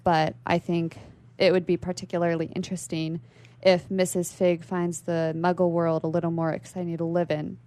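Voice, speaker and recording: A woman with a creaky voice speaking as an interview guest, explaining literary ideas in a thoughtful, conversational way. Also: lowish voice, flat delivery, normal speed, warm tone. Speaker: library worker. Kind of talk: conversational literary discussion on a radio show.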